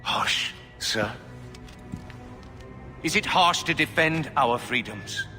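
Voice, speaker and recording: A man's voice: a little high, animated, slow pace, bright, forceful tone, soft background music, full character voice. An actor playing a tyrannical, coldly menacing king in a video game, speaking in a stately, imperious way. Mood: cold menace. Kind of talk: video game cutscene acting.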